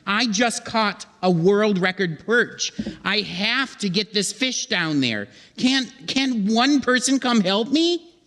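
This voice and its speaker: In a reverberant theatre, a man acts out an excitable stranded fisherman for old-time radio comedy. His voice is high and slow-paced, and he stammers and repeats himself with dramatic, flustered urgency. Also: exaggerated voice. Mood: pleading. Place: theatre stage. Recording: echoey theatre hall with long reverb.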